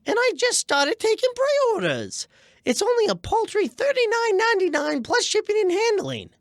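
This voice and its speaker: A woman playing a fast-talking young schemer with glib, wheedling sales patter in a scripted radio comedy. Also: extremely high pitch, sing-song, no echo, studio quality, full character voice. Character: fast-talking young scheming huckster pitching dubious deals.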